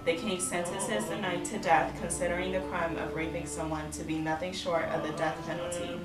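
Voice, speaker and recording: A woman's voice: fairly low pitch, little pitch movement, brisk. A woman reading a scripted medieval tale as narrator of an amateur puppet show. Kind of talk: scripted storytelling. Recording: echoey, distant, loud music underneath.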